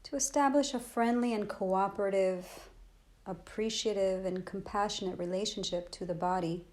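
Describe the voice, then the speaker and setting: A woman teaching a guided body scan meditation in a gentle, soothing, unhurried manner with long pauses. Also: medium pitch, steady tone.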